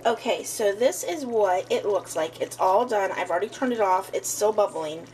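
A chatty, upbeat woman in her thirties talks through a recipe at her kitchen counter. Her pitch sits at a middle level. The recording picks up clear kitchen noise and the clatter of dishes from the camera mic. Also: animated, normal speed, darkish tone, low-quality recording. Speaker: home cooking vlogger. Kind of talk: casual cooking narration. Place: home kitchen, indoors.

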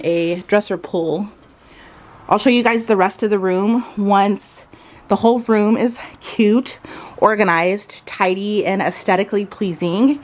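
A woman in her thirties chatting casually and enthusiastically, like a lifestyle vlogger showing things off at home. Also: mid-range voice. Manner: enthusiastic, gushing. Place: furnished bedroom at home, indoors.

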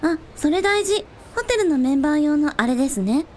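A woman playing a gentle, kindly young woman, like an older sister, in a radio drama. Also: high voice, lively intonation, fairly quick, a little hiss or hum. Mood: gentle, caring.

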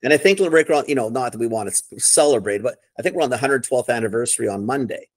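A man's voice: medium pitch, fast, dry recording. A middle-aged man chatting casually and enthusiastically on a video call, with a rambling way of talking. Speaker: co-host. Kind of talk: casual chat. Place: living room, seated on a sofa.

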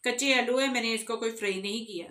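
Calm woman explaining a home recipe. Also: on the high side, quick pace, noisy background. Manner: calm, explains each step, addresses viewers directly. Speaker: cooking channel host. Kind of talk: step-by-step cooking instructions. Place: home kitchen, off camera over a pot.

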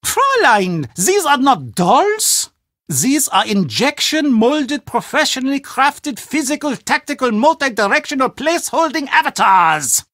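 An earnest German castle dweller from a video game. Her voice is fairly high and swings widely in pitch. She speaks with a German accent, emphatic and precise, in a dry, close recording. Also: a bit bright, no room sound, full character voice. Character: German castle-dwelling woman, earnest, animated, proud of board games. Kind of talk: video game character dialogue.

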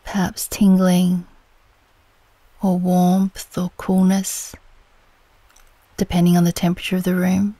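Female meditation guide: dark, relaxed timbre, soothing unhurried delivery, soft breathy phrase endings, very dry recording. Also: on the low side, no echo. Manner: gentle, soothing, unhurried with long pauses.

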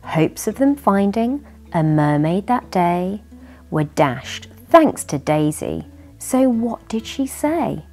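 A British woman in her thirties reading a storybook to young listeners in an animated way, indoors in a living room. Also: mid-range voice, takes it slowly, soft background music. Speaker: children's story reader and host. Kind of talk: expressive children's storybook reading. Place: furnished living room by a fireplace.

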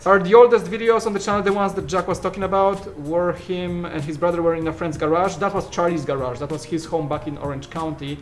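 A man's voice: sits high, fairly even intonation, fast, few pauses, soft background music. A man in his thirties from Poland with a Polish accent, co-hosting a casual studio Q&A and joking as he answers viewer questions. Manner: joking, trails off mid-sentence. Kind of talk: casual Q&A chat. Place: large studio room full of shelves.

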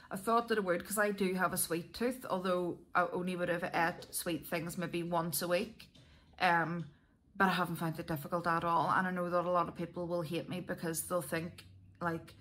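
Female vlogger, Northern Irish, lowish bright voice, candid and chatty. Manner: chatty, candid, self-deprecating. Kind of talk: casual chat to viewers.

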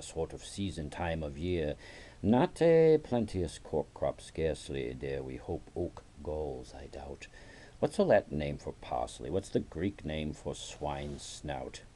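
A man's voice: very deep voice, warm tone, husky, exaggerated voice. A man playing a spiteful, scheming Spanish monk in a dramatic poetry recitation, sneering and theatrical, swinging from outbursts to hisses and whispers. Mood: mocking.

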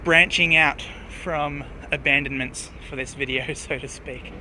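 A man, casually narrating while he walks outdoors, relaxed and hesitant, with many fillers. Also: a little high, a little slow, low-quality recording. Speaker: urban explorer vlogger. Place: outdoors beside a busy road. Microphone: handheld camera mic, speaker off screen. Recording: outdoor camera audio with clear traffic noise.

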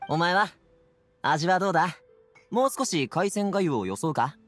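A man's voice: a little high, expressive, normal speed, over loud music, close to an everyday voice. A man voicing an easygoing but headstrong young man in an anime game, speaking in a casual, youthful way. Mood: friendly, generous. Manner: casual youthful masculine speech.

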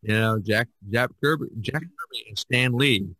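A man's voice: mid-range voice, normal speed, sharp tone, slightly rough, dry recording. An older man hosting a podcast in a casual, rambling, anecdotal way, often repeating his phrases. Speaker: podcast host.

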